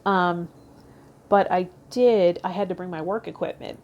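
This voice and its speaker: A woman's voice: lowish voice, fairly even intonation, unhurried, darkish tone, dull audio. A woman hosting a tarot vlog, reading aloud and talking to her viewers. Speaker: tarot channel host. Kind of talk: reading card meanings aloud and casual vlog talk.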